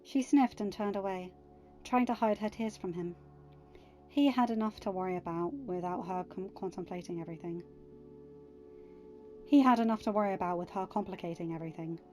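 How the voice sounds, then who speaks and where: A woman's voice: mid-range voice, fairly quick, long pauses, warm tone, faint music behind. A woman reading scripted fiction aloud as an audiobook narrator.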